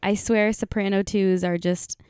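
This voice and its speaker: A woman's voice: mid-range voice, fairly even intonation, a little slow, a little mellow, clear, resonant tone, dry recording, studio quality. A young woman vlogging in casual chat, her voice scratchy and tired from singing, rambling with fillers and giggles.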